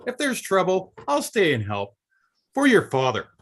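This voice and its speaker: A man's voice: sits high, wildly swooping pitch, moderate pace, slight background noise. An actor at a table read playing an earnest, nervous, loyal baker offering his help. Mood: eager, earnest. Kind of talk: live table read of a film script.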